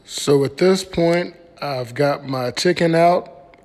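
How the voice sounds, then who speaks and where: A man's voice: on the high side, slow, a little mellow, long reverb tail. A middle-aged American man casually explaining a recipe as an easygoing home-cooking host, recorded on a phone in a kitchen. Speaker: home cook hosting a cooking vlog. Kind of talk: casual recipe walkthrough to camera. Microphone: phone at arm's length.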